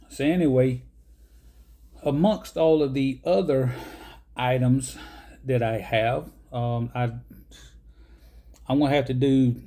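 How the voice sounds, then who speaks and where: A man's voice: mid-range voice, mellow. A man, casually rambling through a show-and-tell vlog with many ums and uhs. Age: 50s. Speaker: pipe-smoking vlogger.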